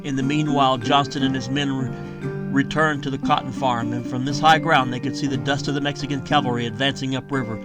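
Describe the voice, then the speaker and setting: A man's voice: mid-range voice, fairly even intonation, fairly quick. Man narrating dramatically over strong guitar music. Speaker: author and narrator reading own novel. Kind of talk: dramatic audiobook narration of a historical western. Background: plucked guitar music.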